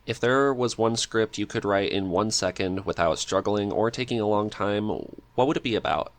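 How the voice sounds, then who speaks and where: A man with a very low voice hosts a casual podcast chat. He speaks hesitantly and agreeably, in an informal, conversational way. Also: normal speed. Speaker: podcast co-host reading questions.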